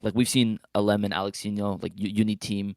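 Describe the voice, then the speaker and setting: A man with a fairly low voice talks as a podcast panelist. He reasons casually and tentatively, hedging often and leaning on "like", in a dry, close sound. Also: little pitch movement, a little fast, darkish tone, no room sound. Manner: tentative, hedging, heavy use of "like". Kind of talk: casual chat.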